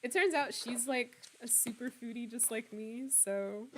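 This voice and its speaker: Chatty, slightly hoarse young woman streamer. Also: on the high side, a little slow, fairly bright tone, a little hiss or hum. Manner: chatty and upbeat, laughs often. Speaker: streamer playing a horror game. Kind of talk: casual live-stream chat, reading out viewer comments.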